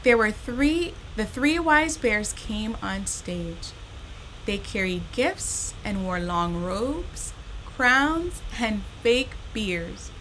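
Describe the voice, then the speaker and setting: A woman hosting a storytime, reading a children's story expressively and speaking directly to young listeners in an animated, unhurried way. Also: mid-range voice, a little hiss or hum, rough recording. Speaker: storytime host reading a children's picture book.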